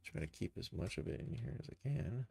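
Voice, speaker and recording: A man's voice: mid-range voice, animated, brisk, vocal fry, dark tone, husky. A man streaming a casual tutorial, thinking aloud informally as he works. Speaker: streamer, game developer and animator. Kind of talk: casual live screen-share tutorial.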